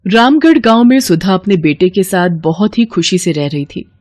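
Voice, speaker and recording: A woman's voice: fairly low pitch, expressive, moderate pace, background noise. A woman storyteller reading scripted narration for a moral bedtime story.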